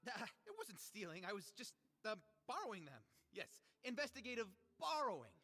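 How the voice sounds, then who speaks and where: A man's voice: high voice, sing-song, normal speed, pushed and bright, big-room sound, soft background music, exaggerated voice. An actor playing a sheepish, eager young male columnist who stammers out excuses in a theatrical video game style. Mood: defensive, sheepish. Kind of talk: animated video game voice acting.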